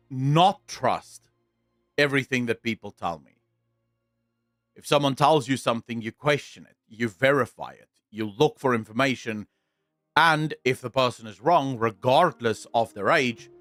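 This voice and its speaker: A young man with a South African accent chatting on a livestream, answering viewers in a deliberate, blunt way, repeating his points and swearing now and then. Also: mid-range voice, fairly bright tone, no echo. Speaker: streamer.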